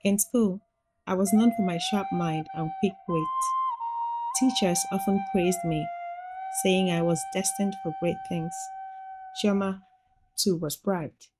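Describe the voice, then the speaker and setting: Woman, lowish voice, story narration, formal measured delivery, prominent background music. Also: rough recording. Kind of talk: scripted first-person story narration. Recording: music playing behind the voice.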